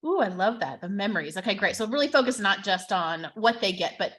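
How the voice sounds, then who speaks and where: Bright, enthusiastic woman moderator. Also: medium pitch, expressive, a little fast. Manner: upbeat, enthusiastic, gushing. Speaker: panel moderator. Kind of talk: moderating a business panel.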